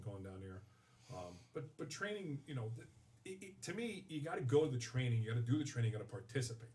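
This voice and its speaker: A middle-aged man speaks at an average pitch. His delivery is rapid and candid, with repeated words as he searches for phrasing. He sits a little far from the microphone. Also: mellow. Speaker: guest, firefighting instructor and training officer. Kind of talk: candid conversational interview. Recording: voice slightly distant from the mic.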